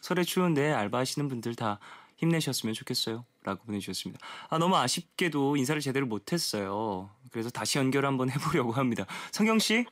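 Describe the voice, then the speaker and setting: Gentle, lively young man radio host. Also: mid-range voice, fast, few pauses. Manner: polite, gentle, laughs often. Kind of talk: late-night radio chat with phone-in listeners. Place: radio studio.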